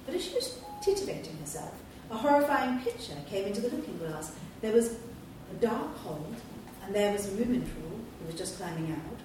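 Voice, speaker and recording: A woman reading a children's storybook aloud at a live event, in a steady, clear storytelling manner. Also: mid-range voice, moderate pace, few pauses, background noise. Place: large hall at a live event. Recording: echoey hall from far off.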